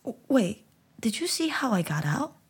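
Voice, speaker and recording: A woman voicing a shy young boy who stammers and speaks high and eagerly. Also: very animated, normal speed, slightly rough, heavily acted. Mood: timid eager.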